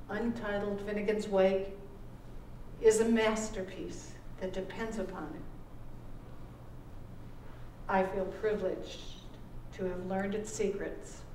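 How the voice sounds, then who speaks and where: A woman author reading her own literary text aloud at a live event, with measured, deliberate phrasing. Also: mid-range voice, a little mellow. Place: live performance event in a room. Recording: roomy, distant microphone with background noise.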